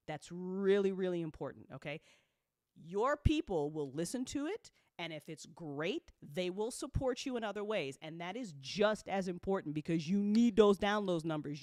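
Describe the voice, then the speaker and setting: Indie audio creator giving a candid business update; medium pitch, informal, emphatic, unfiltered language, dry sound. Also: no echo. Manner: frank, casual, swears freely. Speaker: audio drama creator and network host.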